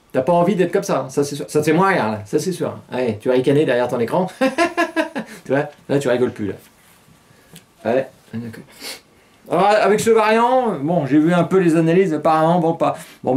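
A middle-aged man hosting a satirical news show in a small studio, talking casually and mockingly, laughing often between jokes. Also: a little high. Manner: sarcastic, laughs often, animated gestures. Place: small studio with green-screen news set.

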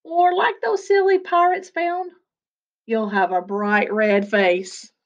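A woman's voice: a little high, steady tone, takes it slowly, dull audio. A woman in her forties, a second-grade teacher, reading a picture book aloud with playful, chatty asides to children from a small attic room at home. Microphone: webcam at desk.

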